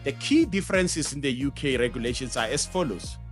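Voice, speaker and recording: Animated South African male presenter, music behind. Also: medium pitch, brisk. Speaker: host of an educational show on radio broadcasting. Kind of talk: scripted explainer narration with conversational asides.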